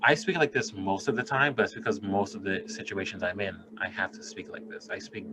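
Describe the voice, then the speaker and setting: A man with a fairly low voice talks through a casual podcast conversation in an earnest, thoughtful way and swears freely. Steady background noise runs clearly under the recording. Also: brisk. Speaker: podcast co-host, doctor.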